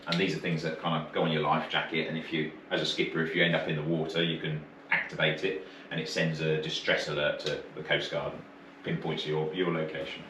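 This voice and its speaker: A man's voice: a bass voice, flat delivery, brisk. A British man working as an instructor, teaching informally and explaining step by step with short checking questions. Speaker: marine radio course instructor. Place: indoor training room. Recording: roomy, distant mic, faint noise.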